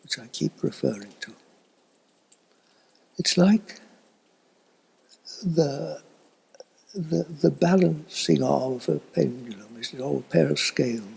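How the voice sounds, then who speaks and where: An elderly man giving a slow, reflective spiritual talk in a husky, breathy voice that often fades toward a whisper, with long thoughtful pauses. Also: on the high side, darkish tone, rough recording. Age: older, elderly. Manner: contemplative, halting with long pauses. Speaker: spiritual teacher.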